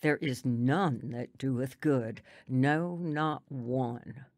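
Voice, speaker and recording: A woman's voice: rumbling low, very slow, darkish tone, no room sound, clean studio sound, full character voice. A woman playing a pious, plainspoken old country grandmother in a radio drama, solemn and folksy in manner.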